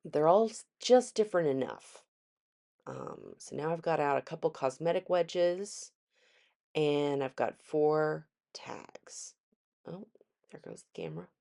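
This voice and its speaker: Craft vlog host, a woman with a stuffy nose, very low and gravelly, easygoing tutorial voiceover. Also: unhurried. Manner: relaxed, chatty, frequent ums. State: congested. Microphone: voiceover mic.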